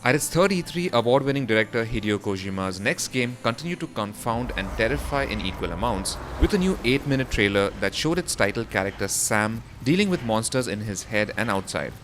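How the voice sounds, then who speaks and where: A man's voice: medium pitch, animated, a little fast, hardly any pauses, a bit bright, a little hiss or hum. A man reading a scripted voice-over for a gaming news roundup, in the role of presenter. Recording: music behind the voice. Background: background music.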